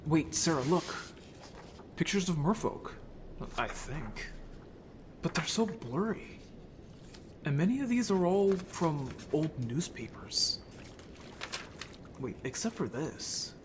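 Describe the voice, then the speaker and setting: A man's voice: on the high side, very animated, slow, pauses often, slight background noise. A man playing an earnest, sensitive merman in an audio drama, hesitant and emotional in delivery. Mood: puzzled, anxious. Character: earnest, sensitive merman haunted by childhood friendship.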